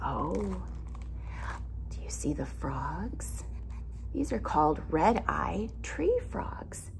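A woman in her thirties reading a picture book aloud as a teacher, deliberate and expressive, explaining simply. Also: sits low, vocal fry, whispered. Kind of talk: children's read-aloud with simple questions. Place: classroom, indoors.

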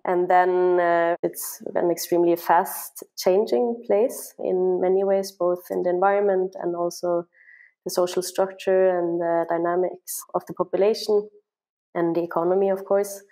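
A woman from Norway speaks in a low, gravelly voice. She answers interview questions thoughtfully, with frequent fillers and restarts. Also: little pitch movement, normal speed, warm tone, poor audio. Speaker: social anthropologist guest.

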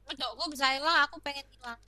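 A woman's voice: sits high, quick pace, a loud crowd. A young woman, chiming in cheerfully on a stream video call.

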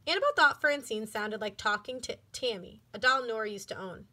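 Bright, high-voiced woman reading aloud. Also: fairly quick. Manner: clear, expressive storytelling. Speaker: elementary school educator. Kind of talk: children's book read-aloud.

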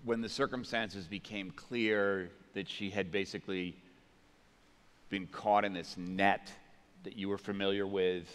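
An older man moderating a stage panel, asking questions in a dramatic, folksy, halting way. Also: sits low, fairly even intonation, smooth. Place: large stage studio with audience. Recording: long hall reverb.

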